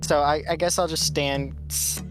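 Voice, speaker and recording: A woman's voice: sits low, steady tone, normal speed. A woman chatting casually as a player in a tabletop game, with music in the background. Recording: loud music behind the voice. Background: loud background music.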